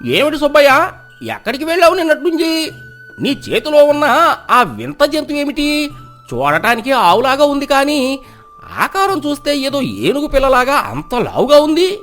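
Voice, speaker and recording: A man voicing an astonished, suspicious villager in a folk story, exclaiming and questioning in a high voice. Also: sing-song, moderate pace, a bit bright, soft background music, full character voice. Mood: astonished curiosity.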